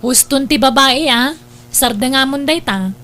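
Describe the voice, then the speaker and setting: High-pitched frank older sister, acted by a woman. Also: unhurried, fairly bright tone. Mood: frank, teasing. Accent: Ilocano. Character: frank, caring older sister, nosy and protective. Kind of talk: Ilocano radio-style family drama acting. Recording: noticeable hum behind voice.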